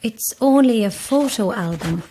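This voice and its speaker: Slow-speaking, weary grieving mother. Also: fairly high pitch, lively intonation, dark tone, a little hiss or hum, rough recording. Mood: uneasy. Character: weary, grieving mother posing as make-up saleswoman, guarded and uneasy. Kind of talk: horror audio drama acting.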